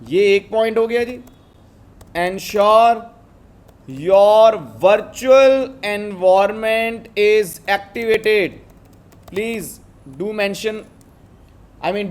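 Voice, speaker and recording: A man with an Indian accent teaching a step-by-step coding lesson, switching between two languages and often checking that learners follow. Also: high voice. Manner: switches between Hindi and English; instructive, often checks understanding with tag questions. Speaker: programming instructor.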